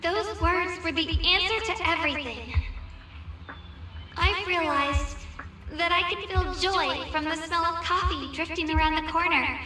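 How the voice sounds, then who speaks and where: A very high young female voice plays a modest, gentle hero in a video game. She speaks slowly and sincerely, echoing as if in a large space, over faint music. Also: slight background noise, full character voice. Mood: earnest, tender. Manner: hesitant, sincere, unhurried. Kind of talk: heartfelt public speech in game. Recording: distant echoing speech, soft music behind.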